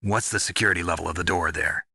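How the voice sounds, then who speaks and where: Gruff, very deep veteran soldier. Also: male voice, fast talker, no echo, clean studio sound, full character voice. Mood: grim, businesslike. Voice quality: gravelly, husky. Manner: terse, deadpan, hard-bitten. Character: gruff, world-weary veteran male special forces soldier. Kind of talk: dramatic video game voice acting.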